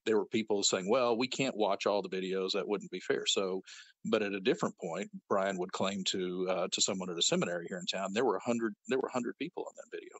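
A man's voice: low voice, fast talker, dry recording, low-quality recording. A man speaking as an interview guest, recounting events in an indignant, matter-of-fact way.

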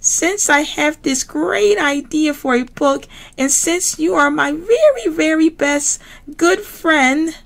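A woman's voice: high-pitched, animated, takes it slowly, creaky, fairly bright tone, pitched above the performer's own voice, exaggerated voice. A woman reading a storybook aloud and voicing a vain, sweet-talking female pig who coaxes and gushes in long run-on pleas. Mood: gushing. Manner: gushing, coaxing, breathless run-on pleading.

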